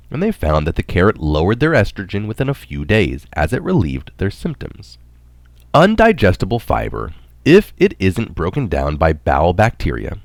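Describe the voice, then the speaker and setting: Man, low-pitched voice, highly varied intonation, scripted article narration, dry close sound. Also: no echo. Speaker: narrator reading articles aloud.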